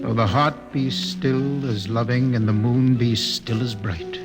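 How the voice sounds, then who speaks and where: Earnest, brooding spaceman in reverb. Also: on the low side. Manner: grave, halting, impassioned. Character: earnest, brooding male expedition spaceman, idealistic and bitter. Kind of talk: radio drama acting.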